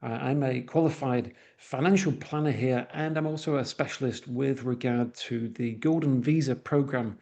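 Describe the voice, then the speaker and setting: A man's voice: mid-range voice, fairly even intonation, normal speed, vocal fry, hardly any pauses, darkish tone, highs cut off. A man from northwest England with a Manchester accent, giving a webinar presentation with frequent uhs and restarts. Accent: Mancunian.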